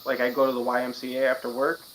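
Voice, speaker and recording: Laid-back fighter, casual chat. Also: male voice, medium pitch, monotone, fast, background noise. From Wisconsin. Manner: laid-back, plainspoken, frequent fillers. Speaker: interviewee, mixed martial artist.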